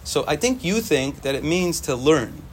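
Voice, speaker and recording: A young man whose first language is Yiddish, giving an informal, animated Torah talk to students and repeating phrases for emphasis. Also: on the high side, normal speed, a little hiss or hum. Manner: animated, repetitive, sprinkles Hebrew and Yiddish terms.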